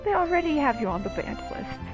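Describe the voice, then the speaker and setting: A woman's voice: high voice, very animated, fast. A woman streamer giving casual playthrough commentary, full of sarcastic, teasing asides to the characters. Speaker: game streamer. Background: video game music.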